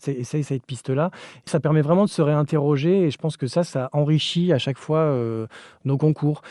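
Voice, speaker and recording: A man's voice: mid-range voice, mellow, no room sound, clean studio sound. A man giving conversational answers as an architect being interviewed.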